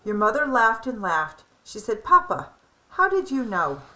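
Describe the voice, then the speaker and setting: A woman voicing an affectionate, proud old grandfather telling family memories in a children's storybook, with fond, animated storytelling. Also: on the high side, unhurried, heavily acted, low-quality recording. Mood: excited.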